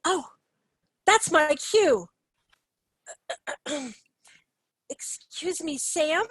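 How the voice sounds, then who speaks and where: A theatrical long-lost mother voiced by a woman, extremely high in pitch. She speaks slowly and haltingly, full of stage-play emotion. The sound is dry. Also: animated, a bit bright, dry recording, full character voice. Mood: emotional, tender.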